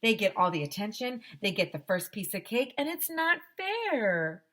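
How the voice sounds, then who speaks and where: A woman's voice: medium pitch, sing-song, moderate pace, fairly bright tone, voice pushed up. A woman playing a blunt, envious young schoolboy who grumbles emphatically as he tells his story in a storybook. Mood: grumbling. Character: nine-year-old schoolboy narrator, grumbling, envious, blunt.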